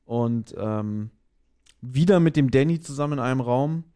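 A man's voice: medium pitch, moderate pace, dark tone, clear, resonant tone. A man with a German accent co-hosting a podcast in casual chat about an audio drama, often hesitantly searching for words. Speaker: podcast co-host.

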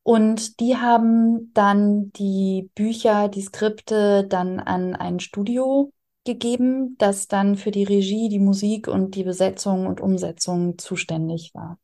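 A woman's voice: mid-range voice, fairly even intonation, slow, dark tone. A woman writer explaining her work in conversational interview answers. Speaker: interviewed audio drama writer.

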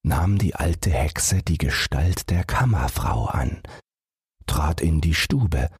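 A man's voice: extremely low pitch, lively intonation, takes it slowly, darkish tone, husky, no room sound, clean studio sound. A man narrating a scripted fairy tale as a bedtime audiobook.

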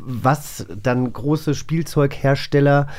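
A man's voice: mid-range voice, fairly even intonation, slow. A middle-aged man, hosting a podcast in a casual, conversational style. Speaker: podcast host. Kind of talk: casual chat.

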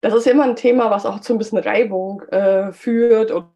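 A woman hosting a solo business podcast, slightly hoarse from a cold and chatty, with frequent filler sounds. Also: on the high side, steady tone, moderate pace, warm tone, highs cut off. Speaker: podcast host.

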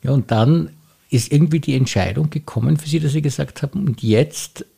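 A man's voice: a little high, fairly quick, gravelly, dark tone, husky. A man, hosting and interviewing on a podcast. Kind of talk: introduction and conversational interview.

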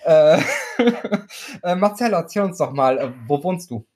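A man's voice: a little high, lively intonation, a little slow, darkish tone. A man hosting a casual podcast over a voice call, joking and hesitant, with many äh fillers. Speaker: podcast host.

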